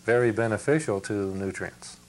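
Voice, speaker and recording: A man's voice: fairly low pitch, normal speed, low-quality recording. An older man on a studio panel who speaks thoughtfully and measuredly, with small hesitations. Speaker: panel guest. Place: TV studio set, indoors. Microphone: lapel mic.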